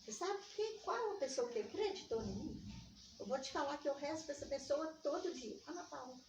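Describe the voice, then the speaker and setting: An older woman with a Minas Gerais accent, telling personal stories in conversation and acting out remembered dialogue in an animated way. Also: high-pitched, fairly quick. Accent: Brazilian Portuguese from Minas Gerais. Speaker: interviewee, community organizer. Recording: echoey room, distant mic, dull, faint noise.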